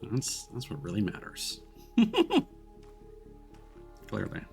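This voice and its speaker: Guy streaming a game, lowish voice, takes his time, wry jokey casual comments, soft music behind. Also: very animated, slow pace, vocal fry. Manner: wry, joking.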